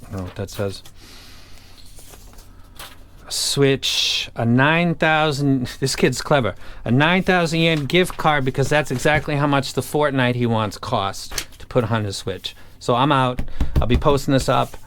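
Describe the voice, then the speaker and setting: A man's voice: medium pitch, measured pace, a bit bright, slight background noise. A man talking casually and off the cuff as an online audio gear reviewer, blunt, opinionated and colloquial. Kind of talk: casual unscripted review talk.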